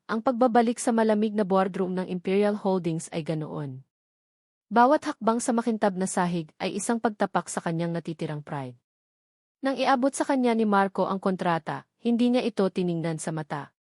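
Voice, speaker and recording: A woman with a Filipino accent reading a scripted romantic drama as a narrator, in a measured, solemn storytelling manner. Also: medium pitch, darkish tone, dry recording, clean studio sound.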